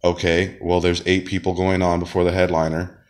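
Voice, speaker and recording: A man talks in a loose, rambling monologue, full of fillers and false starts. His voice sits very low because he is sick. Now and then he coughs between phrases. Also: monotone, moderate pace, darkish tone. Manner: rambling, many fillers and restarts. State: sick, deep voice. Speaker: wrestler and comedian. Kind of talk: casual monologue.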